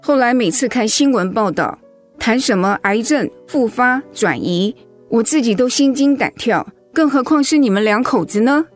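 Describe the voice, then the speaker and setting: An actress in a Mandarin radio drama playing a caring older mother-in-law, soothing, motherly and gently wry. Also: fairly high pitch, animated, normal speed, soft background music, lightly acted. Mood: concerned.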